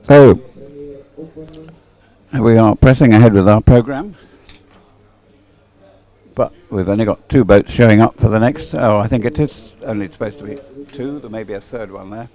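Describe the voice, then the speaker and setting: A male sports commentator with a fairly low, mellow voice and a noticeable gravelly creak. He calls boat races live in an unhurried, explanatory manner, often hesitating as he searches for the next detail. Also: rough recording. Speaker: race commentator.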